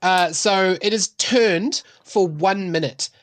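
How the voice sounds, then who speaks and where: Tabletop game player, mid-range voice, careful and halting while reading out rules, very dry sound. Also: mid-range voice, no room sound. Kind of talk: casual game talk, explaining rules.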